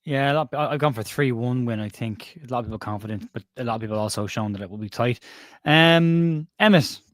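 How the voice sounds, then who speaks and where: Fast-talking Irish man hosting a stream. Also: medium pitch, fairly bright tone, no echo, clean studio sound. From Ireland. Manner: rambling, chatty, self-interrupting. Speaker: podcast host. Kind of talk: casual football chat on a live stream. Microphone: remote stream call.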